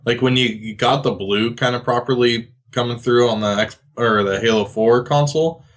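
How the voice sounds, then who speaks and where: A man's voice: medium pitch, steady tone, moderate pace. A man talking casually as a panelist on a gaming podcast.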